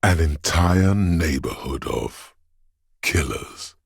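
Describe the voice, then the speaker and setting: An actor playing a menacing, paranoid inner voice of fear and aggression in a game, narrating slowly and ominously in a husky, gravelly voice. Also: male voice, a bass voice, expressive, no room sound, clean studio sound, exaggerated voice. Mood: wary, paranoid. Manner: ominous, slow, deliberate delivery. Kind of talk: dramatic second-person game narration.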